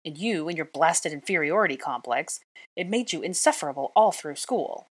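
An arrogant young wizard, read by a woman in a low, breathy voice. He sneers and gives orders in a scornful tone. It is a storybook reading. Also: lively intonation, normal speed. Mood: sneering. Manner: scornful, bossy. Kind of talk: audiobook character voice.

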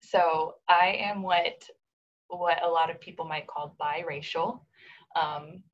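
A young woman in her twenties talks about her background in a relaxed, casual way, with frequent fillers and small laughs. Her voice is low and husky, and the recording sounds dull and slightly muffled. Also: slow. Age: 20s. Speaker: podcast guest. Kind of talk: casual conversational storytelling.

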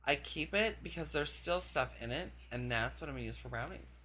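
A man co-hosting a podcast in casual chat, campy, loud and giggly with friends in a room. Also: on the high side, expressive, a little fast, a little hiss or hum, rough recording. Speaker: podcast co-host.

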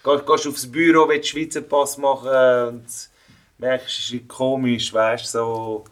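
A man's voice: mid-range voice, level tone, a little slow. A middle-aged man from Switzerland with a Swiss German accent, interviewing a guest in a loose, slangy way full of fillers. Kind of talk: casual interview chat.

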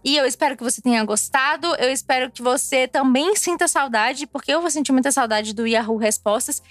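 Uma mulher de Brasília falando de forma casual e cheia de rodeios num vlog, um pouco alegre depois de beber. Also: female voice, sits high, brisk, no echo. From Brasília, Brazil. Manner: chatty, digressive, with frequent fillers and restarts. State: a little tipsy from drinking. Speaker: vlogger.

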